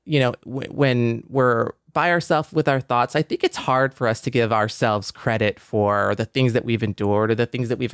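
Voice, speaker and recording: A man co-hosting a talk show, giving reflective commentary in a measured, earnest way. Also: mid-range voice, no echo, studio quality.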